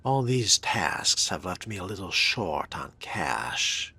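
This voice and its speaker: A man's voice: sits low, big pitch swings, takes it slowly, creaky, pushed and bright, breathy edge. A man role-playing his game character's dialogue in a terse, formal manner.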